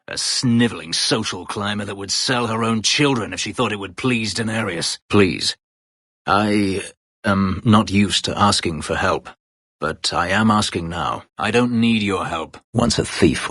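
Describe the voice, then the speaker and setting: An actor playing a proud, bitter former slave warrior in a video game, speaking tersely and formally in a husky, gravelly voice. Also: male voice, lowish voice, lively intonation, unhurried, a bit bright, dry recording, studio quality, exaggerated voice. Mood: guarded, brooding. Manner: terse, formal, brooding delivery. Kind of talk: video game character dialogue.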